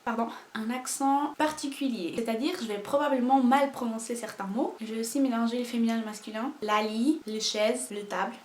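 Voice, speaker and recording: A woman's voice: fairly high pitch, a little fast, few pauses, darkish tone, echoey room, slight background noise. A young woman from Portugal speaking with a Portuguese accent, telling nervous, self-mocking stories in a casual vlog at home. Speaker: vlogger. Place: home room.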